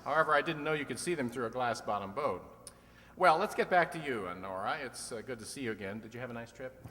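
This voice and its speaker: Man acting as old-time radio quizmaster, teasing wisecracker, bright forceful voice, long hall reverb. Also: medium pitch, animated, fast. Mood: genial banter. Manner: wisecracking, mock-obtuse, needling questions. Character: wisecracking, teasing male comedian quiz show host. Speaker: quiz show host. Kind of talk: recreated old-time radio comedy quiz show.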